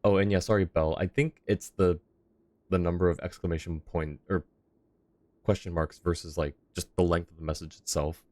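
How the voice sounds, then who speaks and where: A man's voice: sits low, fairly even intonation, normal speed, a little mellow, a little hiss or hum. A young man livestreaming a game and chatting casually with viewers on a close microphone. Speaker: live game streamer. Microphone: close streaming microphone.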